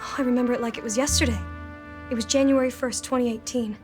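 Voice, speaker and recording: A flustered young damsel in distress, played by a woman, high-pitched and breathless. Her wide-eyed, dramatic delivery sits over a clear music bed. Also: steady tone, fast talker, natural voice. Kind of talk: comedic noir skit acting.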